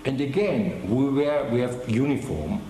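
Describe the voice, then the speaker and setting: An older man giving an oral history interview, speaking haltingly with many restarts and fillers. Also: medium pitch, expressive, mellow, reverberant, a little hiss or hum, low-quality recording. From Germany. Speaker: veteran interviewee.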